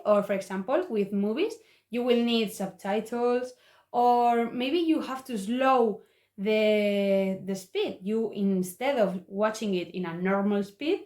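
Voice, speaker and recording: Slow-spoken young woman with Spanish accent. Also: on the high side, darkish tone. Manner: halting, earnest delivery in learner English. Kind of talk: prepared motivational talk. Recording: echoey room.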